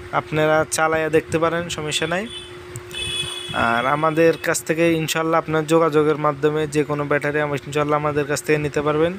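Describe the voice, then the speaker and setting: Halting young man with flat delivery. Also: fairly high pitch, slight background noise. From Bangladesh. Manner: halting, with many fillers and restarts. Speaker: battery seller. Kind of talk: casual promotional talk. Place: large indoor battery factory floor.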